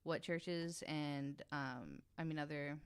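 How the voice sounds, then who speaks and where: A woman's voice: deep voice, fairly even intonation, slow, clean tone. A woman explaining things casually on a podcast, informal and frank in her delivery. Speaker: podcast panelist.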